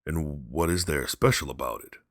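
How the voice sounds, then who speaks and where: A man's voice: extremely low pitch, moderate pace, dry recording, clean studio sound. A man voicing a grey-bearded old father in a storybook, blustery, curious and emphatic.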